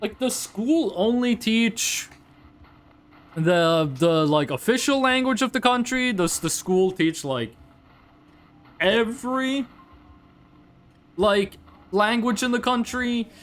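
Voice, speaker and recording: A man's voice: very high voice, lively intonation, slow, pauses often. A man from Norway, a native Norwegian speaker, chats casually on a game livestream in a rambling, curious way and swears freely. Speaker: game streamer. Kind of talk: casual livestream chat over gameplay.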